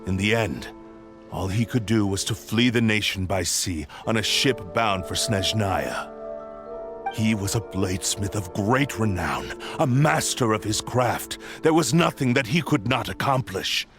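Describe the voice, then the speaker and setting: An evil talking sword for a game, low voice, proud n menacing, formal grand speech, music pretty loud behind. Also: male voice, animated, a little slow. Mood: proud, reverent. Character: proud, ruthless sentient sword possessing a swordsman. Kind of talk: dramatic video game voice acting.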